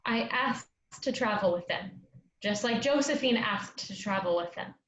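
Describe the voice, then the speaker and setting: A woman playing an earnest young travelling player in a staged reading of a play, speaking with measured delivery. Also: medium pitch, expressive, a bit bright, lightly acted. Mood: earnest pleading. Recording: echoey, dull lo-fi, noisy room.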